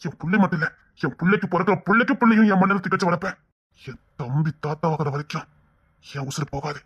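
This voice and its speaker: A man's voice: on the high side, quick pace, a bit bright, slightly rough, highs cut off. An actor playing a grieving father who weeps and pleads for his missing child, repeating himself over and over. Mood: distraught. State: crying. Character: grief-stricken father, desperate and stubborn. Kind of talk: tearful serial drama acting.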